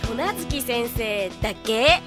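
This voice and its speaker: A woman playing a hot-tempered, impatient tomboy with rough, blunt, masculine wording in an anime audio drama. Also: on the high side, expressive, measured pace, over music. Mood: irritated.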